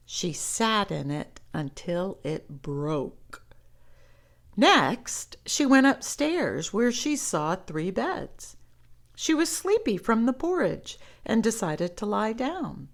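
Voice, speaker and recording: A woman reading stories aloud to children in an expressive, conversational way, as if talking directly to young listeners. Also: mid-range voice, gravelly. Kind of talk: read-aloud storytelling with chatty commentary.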